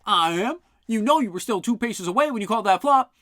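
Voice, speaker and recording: A narrator voicing a quarrelsome alien guard, loud and indignant. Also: mid-range voice, lively intonation, brisk, no room sound, clean studio sound, voice pushed up, close to an everyday voice.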